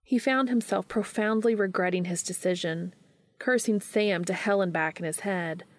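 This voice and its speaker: A woman's voice: mid-range voice, moderate pace, no echo. A woman reading a story aloud as scripted narration. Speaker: fan fiction reader.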